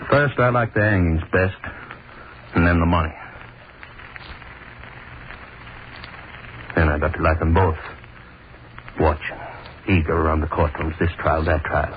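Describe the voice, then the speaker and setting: A man's voice: sits low, close to an everyday voice. An actor playing a sadistic, haunted middle-aged hangman in a brooding, obsessive 1930s radio horror monologue. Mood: greedy, obsessive. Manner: brooding, obsessive, repeats words. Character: gloating, sadistic, haunted old-time hangman. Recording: muffled, noisy 1930s radio recording.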